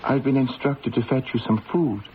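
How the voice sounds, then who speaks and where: An actor playing an earnest, deferential young gendarme, respectful and hesitant, in an old-time radio drama. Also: male voice, mid-range voice, steady tone, normal speed, natural voice. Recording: dull lo-fi radio, faint noise.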